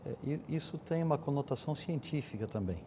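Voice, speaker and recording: Older-ish brazilian guy chatting on a panel, mellow voice, bit of background noise. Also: medium pitch, expressive, a little fast. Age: middle-aged or older. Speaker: guest panelist, pastor. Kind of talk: conversational storytelling in a discussion.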